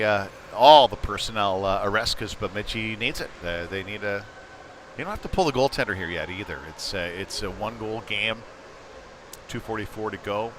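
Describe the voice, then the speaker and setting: A man's voice: fairly low pitch, pushed and bright. American man calling hockey over rink noise. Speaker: hockey play-by-play commentator. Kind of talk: live sports commentary, casual and off-the-cuff. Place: indoor ice rink. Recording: noisy indoor ice rink.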